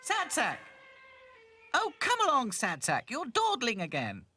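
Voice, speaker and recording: Bloke doing a bossy little lady rag doll, very high voice, proper and brisk, storybook. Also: wildly swooping pitch, bright, forceful tone, heavily acted. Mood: scolding. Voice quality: high-pitched.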